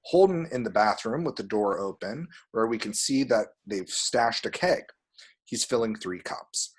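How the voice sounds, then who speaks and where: A man's voice: fairly low pitch, expressive, a little slow, breathy edge. A man reading screenplay action and scene headings as narration for an amateur table read. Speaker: reader of screenplay action description.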